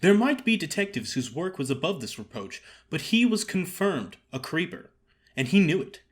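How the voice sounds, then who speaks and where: Guy reading an audiobook, kinda high voice, super animated, wry theatrical storyteller. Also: moderate pace. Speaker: story reader.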